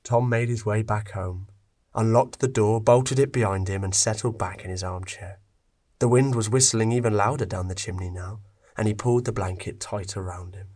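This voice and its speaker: A man's voice: on the low side, steady tone, moderate pace, darkish tone. A man narrating a scripted story as its reader.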